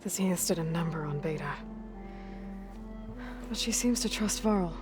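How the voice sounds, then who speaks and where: An actress playing a guarded, determined young huntress in a video game, with an American accent and an understated, earnest delivery. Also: female voice, lowish voice, moderate pace, over music, natural voice. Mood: reserved, caring. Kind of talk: naturalistic video game acting.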